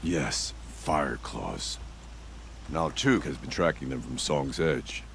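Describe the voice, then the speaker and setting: Game warrior chief, solemn, deliberate, rumbling very low, faint background noise. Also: male voice, lively intonation, creaky, a bit bright, exaggerated voice. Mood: resolute. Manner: grave, deliberate, formal phrasing. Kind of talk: scripted video game cutscene acting.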